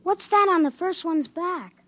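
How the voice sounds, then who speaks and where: A woman's voice: very high-pitched, a little slow, fairly bright tone. A woman playing a young frontier boy in a 1950s radio drama, calling out urgently to his father. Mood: curious. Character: young boy on Mars, eager and frightened.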